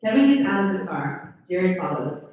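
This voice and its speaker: A woman's voice: on the low side, sing-song, moderate pace. A woman reading scripted stage directions as narrator at a live table read. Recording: very echoey room, distant dull mic.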